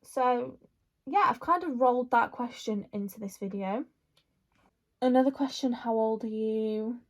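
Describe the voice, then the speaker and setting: A woman's voice: fairly high pitch, unhurried. A woman, chatting casually through a makeup Q&A, rambling with lots of fillers. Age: 20s. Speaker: vlogger.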